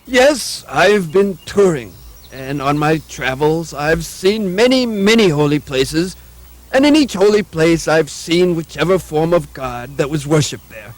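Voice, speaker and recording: A man's voice: a little high, expressive, a little slow. A man voicing a puppet of a self-important wandering swami, pompous and declamatory, in a theatrical show style.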